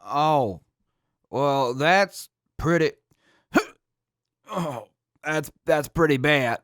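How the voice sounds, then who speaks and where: A man playing a drunk, sheepish, good-natured crewman in an audio drama, rambling haltingly with slurred speech. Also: fairly high pitch, animated, a bit bright, no room sound, studio quality, heavily acted. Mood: dazed.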